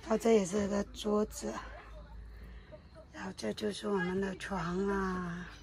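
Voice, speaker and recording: A woman's voice: mid-range voice, little pitch movement, measured pace, a crowd in the background. A woman chatting casually while showing a camper trailer at a campsite, filmed on a phone. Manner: chatty, showing around and narrating. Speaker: vlogger. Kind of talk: casual family camping vlog. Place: outdoors at a campsite.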